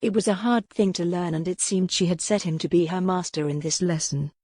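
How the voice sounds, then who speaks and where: A woman's voice: lowish voice, steady tone, fairly quick, darkish tone, slightly rough, no echo, clean studio sound. A woman reading historical romance fiction as a scripted audiobook narration.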